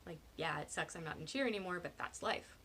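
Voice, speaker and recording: A woman's voice: lowish voice, brisk, slight background noise. Woman doing a dry, sarcastic teenage girl. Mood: dry, dismissive. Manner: flat, dry. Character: sarcastic, skeptical teenage girl, eye-rolling.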